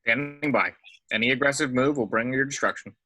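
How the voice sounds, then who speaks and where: A man's voice: mid-range voice, fairly quick, sharp tone, dry recording. A man playing a stern, threatening official with formal, clipped commands in a science fiction script read. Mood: stern warning. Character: stern, threatening official over a starship intercom. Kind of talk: dramatic table read of a film script.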